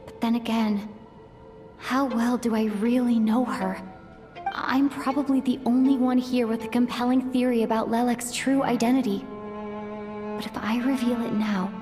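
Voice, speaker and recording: An actress playing a thoughtful young investigator in a video game, speaking in a measured, introspective monologue. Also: female voice, fairly high pitch, steady tone, a little mellow, close to an everyday voice. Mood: pensive. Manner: measured, pensive. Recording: reverberant, faint music behind.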